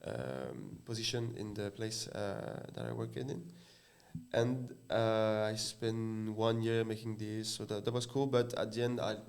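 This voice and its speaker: Halting French man, casual chat. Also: lowish voice, gravelly, darkish tone. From France. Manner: halting, searching for words, many "uh" fillers. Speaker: guest.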